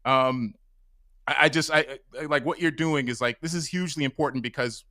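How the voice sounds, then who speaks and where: A man's voice: mid-range voice, brisk, pushed and bright, no echo, studio quality. A man hosting a podcast over a video call, chatting casually in a rambling way with many fillers. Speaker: podcast host. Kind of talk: casual interview chat. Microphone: mic on a stand over a video call.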